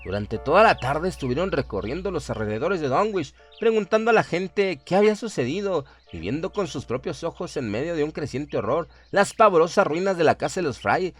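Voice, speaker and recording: A man's voice: fairly high pitch, very animated, a little fast, hardly any pauses, soft background music. A man narrating a scripted horror story in a solemn, dramatic storytelling manner.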